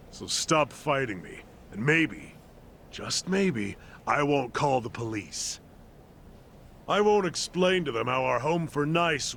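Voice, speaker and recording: A man's voice: mid-range voice, vocal fry, fairly bright tone, a little hiss or hum, exaggerated voice. A man playing a smug, menacing yakuza villain who runs a facility in a game cutscene, condescending and threatening. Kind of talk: dramatic game cutscene voice acting.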